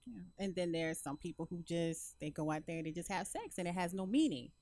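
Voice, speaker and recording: A woman co-hosting a podcast in casual chat, agreeable and quick with short affirmations. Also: fairly low pitch, expressive, a little mellow, dry recording. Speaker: podcast co-host.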